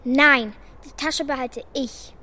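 A woman's voice: high-pitched, fairly even intonation, slow pace, sharp tone, slight background noise, exaggerated voice. A female performer playing a bold, stubborn, cheeky orphan girl in a children's radio play, blunt and bossy. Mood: bossy, picky. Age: child figure.

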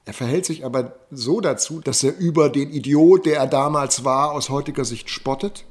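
A man comments reflectively in an interview. His voice sits at a middle pitch, and he thinks aloud, repeating points for emphasis. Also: moderate pace.

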